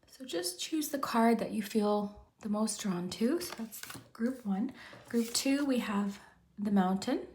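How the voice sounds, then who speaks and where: A woman talks her viewers through a weekly tarot reading in a loose, rambling way, with lots of filler and restarts. Her voice sits a little higher than most and sounds slightly hoarse, as if she is losing it. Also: animated, measured pace, a little mellow, slight background noise. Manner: chatty, rambling, frequent "kind of" and restarts. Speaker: tarot reader, channel host. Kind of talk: casual pick-a-card tarot chat to camera.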